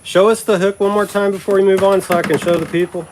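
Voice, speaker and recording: A man's voice: sits high, fairly even intonation, quick pace, darkish tone, a little hiss or hum. Upbeat man hosting a seminar. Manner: upbeat, brief interjections. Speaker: host.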